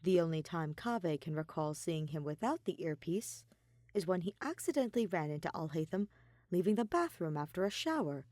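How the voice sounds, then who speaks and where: A woman narrating a written story in an expressive, scripted reading style. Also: on the low side, brisk, dry recording, studio quality. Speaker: podfic narrator reading fan fiction.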